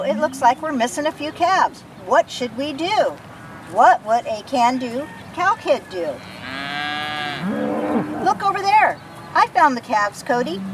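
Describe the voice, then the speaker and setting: A woman reading a children's picture book aloud expressively, as if to young listeners. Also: sits high. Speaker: storybook reader. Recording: noisy recording, distant from the mic.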